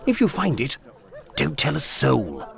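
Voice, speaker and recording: An actor playing a smug, lecherous Victorian gentleman in a video game, insinuating and condescending. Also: mid-range voice, huge pitch swings, measured pace, gravelly, exaggerated voice.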